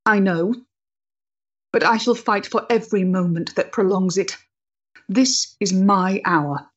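An actress playing a proud, intense woman rebel of the Victorian era, measured, grave and dramatic, in a period stage play. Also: medium pitch, breathy edge, muffled recording. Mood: intense, defiant.

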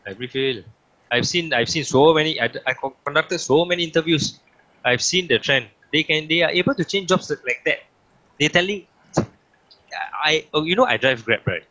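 Singaporean man, impassioned, repeats points, bright tense voice, lo-fi recording. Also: on the high side, animated, moderate pace. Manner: impassioned, opinionated, repeats himself. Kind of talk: casual podcast chat.

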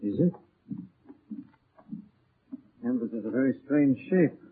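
Grave gentleman sleuth, husky voice. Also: medium pitch, expressive. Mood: wary. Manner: grave, deliberate. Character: composed, deductive gentleman sleuth. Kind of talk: 1940s radio acting. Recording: muffled, narrow-band 1940s radio recording.

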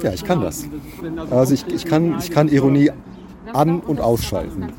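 A man giving spontaneous interview answers in a measured, halting way, choosing his words carefully. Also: mid-range voice, lively intonation, crowd noise. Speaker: interviewee, demonstration organiser. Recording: outdoor urban noise, people chattering.

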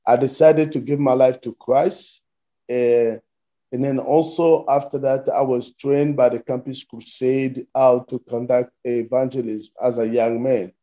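A man in his fifties with a Congolese accent, a pastor answering interview questions haltingly and repeating his phrases as he goes. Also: mid-range voice, steady tone, mellow, muffled recording. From Democratic Republic of Congo. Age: 50s. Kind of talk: interview answers, recounting life story.

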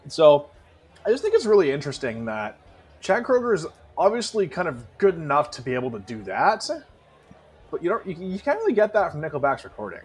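A man's voice: fairly high pitch, sing-song, fairly quick, slightly rough. A man co-hosting a podcast in casual, profane banter, emphatic and repeating himself for effect. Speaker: podcast co-host.

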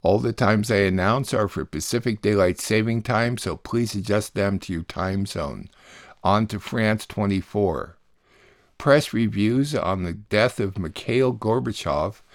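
A man announces a radio programme from a script. His voice sits low with a heavy gravelly creak, and the sound is dry with almost no room. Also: a little slow, no echo. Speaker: radio show host and announcer. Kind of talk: scripted announcing between news reports.

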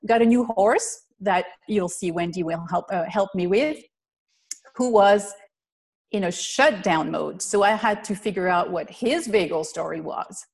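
A woman's voice: mid-range voice, a little slow, a little mellow. A woman from Belgium, a physical therapist giving an online presentation in an earnest, explanatory way over a video call. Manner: earnest, explanatory, lists things step by step. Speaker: physical therapist and riding instructor presenting a webinar.

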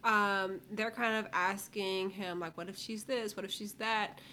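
A woman's voice: mid-range voice, fairly even intonation, measured pace, bright, forceful tone, slight background noise. A woman co-hosting a casual recap show, informal and slangy, retelling what others said in mock quotes. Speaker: co-host of a reality TV recap show. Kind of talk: casual chatty recap commentary.

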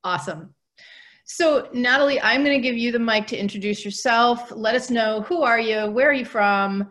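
A woman's voice: a little high, moderate pace, a bit bright. A woman hosting an interview podcast, speaking in an upbeat, informal, conversational way. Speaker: podcast host.

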